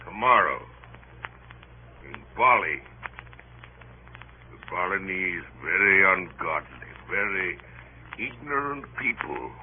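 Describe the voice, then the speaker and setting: An actor playing a grandiose, drunken, feverish missionary passenger, declaiming and rambling theatrically in a radio drama. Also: male voice, sits low, animated, takes it slowly, pauses often, exaggerated voice. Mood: fervent, preaching. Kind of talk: 1940s radio drama acting. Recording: tinny old radio broadcast, hissy.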